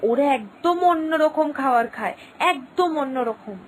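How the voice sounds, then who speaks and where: Woman playing a gossipy village wife, chatty, know-it-all, high voice, cartoon story. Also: animated, a little slow, slight background noise. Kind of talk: cartoon voice acting.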